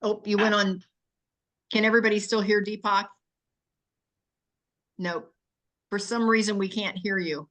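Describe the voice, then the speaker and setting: A woman's voice: medium pitch, steady tone, unhurried, pauses often, fairly bright tone. Woman hosting a video networking call. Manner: friendly, asks questions to draw people out. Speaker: meeting host.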